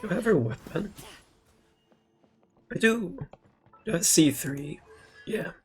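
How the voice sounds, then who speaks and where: Husky, slow-talking game streamer. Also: mid-range voice, animated, faint music behind. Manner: offhand, sparse, self-talk. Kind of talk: casual playthrough reactions, muttering.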